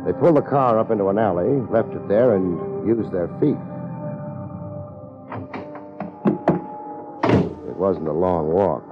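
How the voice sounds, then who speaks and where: An actor playing a laid-back, tough American private investigator, wry and deadpan, in 1950s radio drama style. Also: male voice, deep voice, brisk, lightly acted. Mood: cool, deadpan. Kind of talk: 1950s radio detective drama acting and narration. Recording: muffled old radio recording with loud music.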